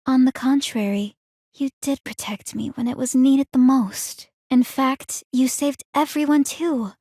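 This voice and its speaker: A young woman strategist in a video game with a somewhat high voice. She is composed and analytical, choosing formal words with measured, articulate delivery. The sound is very dry, with no room around it. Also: mellow, husky, dry recording, heavily acted. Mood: calm, analytical. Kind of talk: scripted video game character dialogue.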